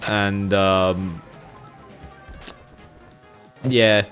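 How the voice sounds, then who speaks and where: A man's voice: fairly low pitch, fairly even intonation, very slow, a bit bright, faint music behind. A young man with an Australian accent, rambling casually to his livestream viewers with frequent chuckles and fillers. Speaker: streamer.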